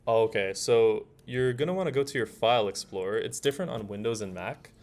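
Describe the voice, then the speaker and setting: A man leading an informal hands-on tutorial, explaining steps in a casual, halting way as he thinks aloud. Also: on the low side, a little mellow. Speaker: workshop instructor. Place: indoor workshop room. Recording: noisy room with keyboard typing. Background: keyboard typing, room noise.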